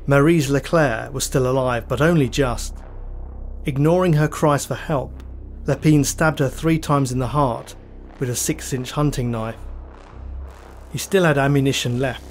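Male true crime narrator, medium pitch, measured dramatic delivery for a podcast with music underneath. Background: background music.

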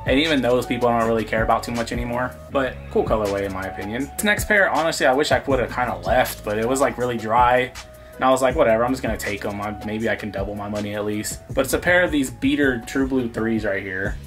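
A young adult man with an American accent chatting casually to camera as a vlog host. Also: medium pitch. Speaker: vlog host, thrift reseller. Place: small indoor room with shelves of collectibles. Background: music.

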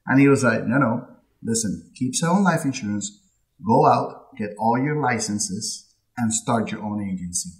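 Guest on a video-call interview: a talkative man in his late thirties from Puerto Rico, mid-pitched voice, quick laughs, headset mic. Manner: chatty, animated with hand gestures, laughs often. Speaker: insurance agency owner, guest. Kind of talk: casual interview storytelling. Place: home office. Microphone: headset with boom mic on video call.

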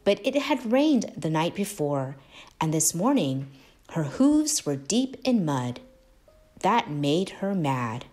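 Slow, animated woman reading a storybook. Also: deep voice, faint music behind. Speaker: storybook narrator, host of read-aloud channel. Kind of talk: expressive children's read-aloud.

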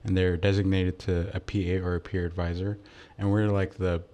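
Young man from Ethiopia, deep flat voice, relaxed student guest. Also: fairly quick. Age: young adult. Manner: relaxed, explanatory. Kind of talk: casual interview answers.

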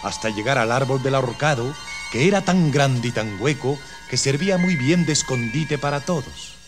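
A man's voice: mid-range voice, expressive, normal speed. Mexican male storyteller on vintage record. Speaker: storyteller narrator. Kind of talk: scripted children's story narration. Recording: vintage 78 rpm record, music behind.

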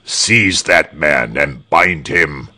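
A man's voice: rumbling low, takes it slowly, a bit bright, voice pushed down, full character voice. A man voicing a cold, tyrannical governor in a storybook reading, speaking in a formal, commanding way. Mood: furious. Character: cold, tyrannical male governor, imperious and menacing.

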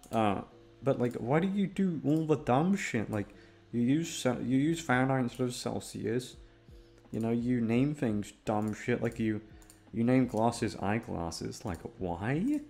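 A man's voice: medium pitch, vocal fry, a little mellow. A man chatting casually as a host, rambling aimlessly and self-deprecatingly with plenty of swearing. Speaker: voice actor and channel host. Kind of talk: casual rambling outro chat.

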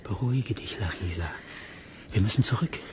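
An actor playing a calm, protective male companion in a radio drama, soothing yet firm. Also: fairly low pitch, normal speed, natural voice. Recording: noisy ambient background.

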